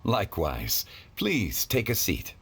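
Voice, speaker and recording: A man voicing a courteous, learned gentleman in a video game, speaking in a formal, measured and humble way. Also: low-pitched, wildly swooping pitch, heavy background noise, heavily acted. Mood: calm, gracious.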